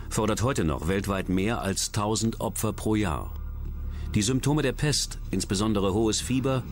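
A man reading scripted narration as a documentary narrator. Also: fairly low pitch. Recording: loud music bed under voice. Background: music.

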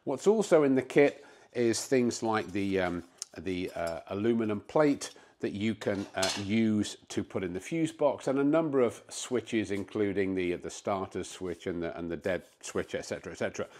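A man in his fifties hosting a DIY vlog from his garage, explaining things casually with frequent pauses on a lapel mic. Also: on the low side, lively intonation, a little mellow. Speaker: host of a DIY car-building vlog. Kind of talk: casual instructional talk to camera. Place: home garage. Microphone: clip-on lapel mic.

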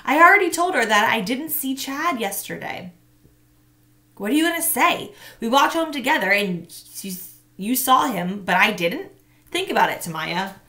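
A woman's voice: on the high side, big pitch swings, moderate pace, sharp tone, pitched above the performer's own voice, exaggerated voice. A woman reading aloud, voicing a stubborn schoolboy who talks curtly and defensively. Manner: curt, snapping, defensive.

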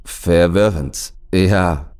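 A man's voice: sits low, drawn-out words, darkish tone, clean tone. Narrator playing an uneasy, hesitant man. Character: uneasy, hesitant man confronted with something strange. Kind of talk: horror audiobook character voice.

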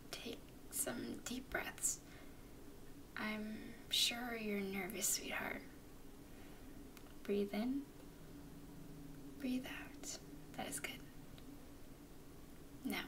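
Woman performing affectionate vampire girlfriend, ASMR roleplay, breathy whisper, highish with some fry, gentle and comforting. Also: far from the mic. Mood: gentle, reassuring. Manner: soothing, affectionate, unhurried. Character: tender, doting female vampire girlfriend comforting her nervous partner.

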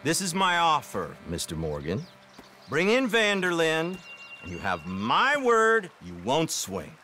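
Smug, forceful lawman. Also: a little high, huge pitch swings, faint music behind, exaggerated voice. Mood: smug, threatening. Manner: formal, condescending, deliberate. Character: smug, menacing American detective agent of the Old West. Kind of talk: dramatic video game acting.

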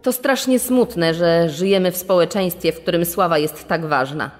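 A woman's voice: lowish voice, normal speed, lightly acted. Woman playing aggrieved, plaintive wife, reverberant. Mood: sad, aggrieved. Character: aggrieved, resigned wife from a scandal-hit family. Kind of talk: acted quotation in true crime podcast. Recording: long reverb, music behind.

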